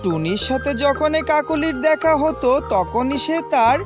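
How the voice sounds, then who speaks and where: A woman with a very high voice narrates a scripted story for children. Music plays clearly behind her. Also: animated, moderate pace.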